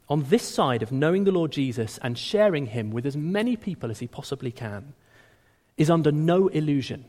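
A man preaching a sermon in a conversational, animated way, often restarting his words. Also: on the high side. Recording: long hall reverb.

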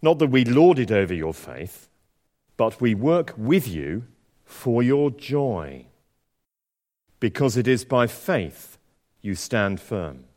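A man preaching a sermon and reading scripture aloud, with a slightly croaky voice and a conversational, self-deprecating manner full of fillers and restarts. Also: mid-range voice, big pitch swings, slow, pauses often, a little mellow. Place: church. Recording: long church reverb.

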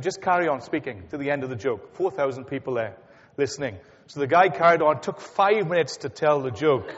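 A man with a Welsh accent giving a sermon as guest speaker, chatty and full of anecdotes, pausing on fillers as he goes. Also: a little high. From Wales. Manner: chatty, storytelling, with hesitations. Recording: dull sound with long hall reverb.

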